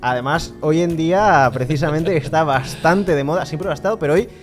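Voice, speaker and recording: A man's voice: fairly high pitch, a little fast, faint music behind. A man hosting a podcast, a lawyer who leads the talk in a friendly, explaining way. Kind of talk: casual podcast chat.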